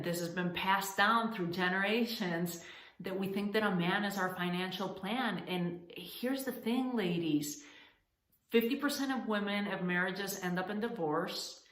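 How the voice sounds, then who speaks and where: A woman's voice: on the low side, fairly bright tone. Earnest woman from Spain, echoey room. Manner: earnest, encouraging, addresses women as ladies; halting restarts and fillers. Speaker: founder of a coaching channel. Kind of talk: direct-to-camera advice talk.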